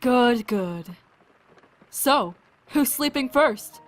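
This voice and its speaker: A woman acting a weary, compassionate and wry scientist in an audio drama, speaking in a halting, thoughtful way. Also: fairly high pitch, animated, faint music behind.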